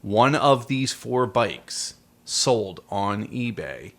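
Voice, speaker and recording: A middle-aged man hosting a casual film podcast, explaining things and gently prompting his young co-host. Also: lowish voice, sing-song, drawn-out words. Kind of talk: casual movie discussion with a child.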